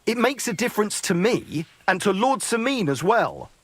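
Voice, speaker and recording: A stubborn husky horse dealer in a medieval game, sarcastic, real dry close sound. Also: male voice, sits high, sing-song, normal speed, a bit bright, no echo, full character voice. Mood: indignant. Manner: blunt, sardonic, unyielding. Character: gruff, hard-bargaining medieval horse trader, man. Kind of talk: video game dialogue acting.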